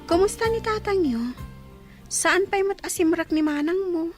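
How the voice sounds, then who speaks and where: A woman's voice: extremely high pitch, animated, normal speed, soft background music. A woman playing a sympathetic, cautious neighbour in a radio drama, inquiring with concern.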